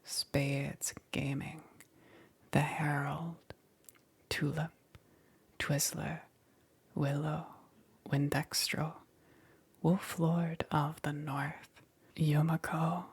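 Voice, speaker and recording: A woman ASMR creator whispering in a breathy, hushed, measured way as she reads out a list. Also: low voice, flat delivery, no room sound. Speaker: ASMR creator thanking supporters.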